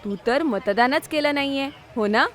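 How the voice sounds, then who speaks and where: A woman's voice: high voice, moderate pace, lightly acted. Woman playing a kindly, patient cartoon schoolteacher. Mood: kind, persuasive. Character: kindly, patient female social studies schoolteacher. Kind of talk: Marathi children's cartoon dialogue.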